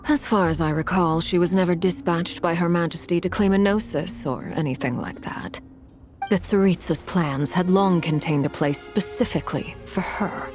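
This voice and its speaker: Actress as shrewd formidable noblewoman in a game, lowish voice, formal n measured, soft music behind. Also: lightly acted. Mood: composed, probing. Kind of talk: dramatic game voice acting.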